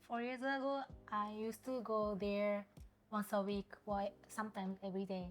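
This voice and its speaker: A woman in her twenties from Japan, with a Japanese accent, speaking English as a second language in a casual interview and pausing often to search for words. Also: mid-range voice, little pitch movement. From Osaka, Japan. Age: 20s. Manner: hesitant, searching for words, many fillers. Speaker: guest.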